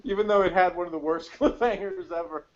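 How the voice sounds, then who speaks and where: A man with a slightly high-pitched voice talks casually about television science fiction. He rambles and feels around aloud for the details as a co-host. The audio is a little dull and lo-fi. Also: fairly even intonation, fast talker. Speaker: podcast co-host. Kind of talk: casual sci-fi TV review chat.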